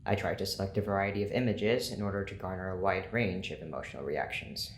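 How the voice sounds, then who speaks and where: A man's voice: low-pitched, fast talker. An actor playing a stern male scientist who runs an experiment, speaking formally and clinically in an audio drama. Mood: controlled, insistent. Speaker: experiment proctor. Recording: roomy echo, faint noise.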